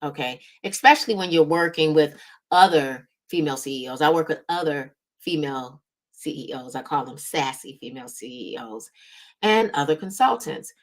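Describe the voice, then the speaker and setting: A woman's voice: low voice, animated, moderate pace. A woman hosting a motivational business talk as a strategist, conversational and emphatic, often checking in with short tag questions. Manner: conversational, emphatic repetition, frequent check-in tags like right and okay. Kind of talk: motivational business coaching talk to online audience.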